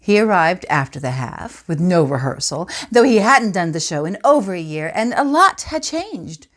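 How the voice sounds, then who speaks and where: A woman playing a reflective, intense stage actress in a theatre monologue, speaking deliberately with dramatic pauses. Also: on the low side, sing-song. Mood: wry reminiscence. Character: poised stage actress recalling past performances, intense and reflective.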